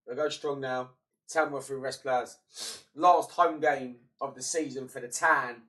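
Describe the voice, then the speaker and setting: Young guy streaming, bunged-up voice, sits back from the mic, mid pitch, chatty and muttery. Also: fairly even intonation, measured pace, sharp tone, distant mic. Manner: chatty and matey, muttering to himself between bursts. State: congested, voice partly gone. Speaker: streamer playing a football management game. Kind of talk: casual gaming commentary.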